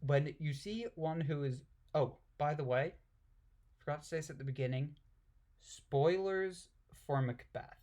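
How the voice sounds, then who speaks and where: A man's voice: on the high side, measured pace, a little mellow. A man reading aloud and commenting casually in an irreverent, giggly, puzzled manner. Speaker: amateur reader and commentator.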